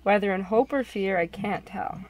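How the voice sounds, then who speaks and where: A woman's voice: medium pitch, normal speed, darkish tone, a little hiss or hum, lightly acted, low-quality recording. A woman voicing the earnest first-person narrator of a visual novel, reading expressively.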